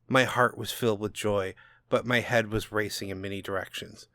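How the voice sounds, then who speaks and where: A man playing a bitter, self-loathing old father in a subdued, halting audiobook narration. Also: on the low side, fairly even intonation, sharp tone, dry recording, studio quality.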